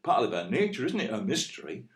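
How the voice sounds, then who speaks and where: An actor playing an earnest, anxious Northern boyfriend in an audio play, with a West Yorkshire accent and a hesitant, rambling, colloquial way of talking. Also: male voice, lowish voice, sing-song, fast talker, echoey room. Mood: earnest, bemused. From West Yorkshire, England. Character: earnest, anxious, plain-spoken Northern boyfriend, out of his depth.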